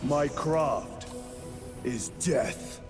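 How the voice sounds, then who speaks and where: A stoic, dutiful veteran lieutenant in a sci-fi game. His terse, solemn delivery is slow but swings widely in pitch, with music behind. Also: lively intonation. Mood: solemn devotion. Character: stoic veteran male space marine lieutenant. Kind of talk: cinematic video game dialogue.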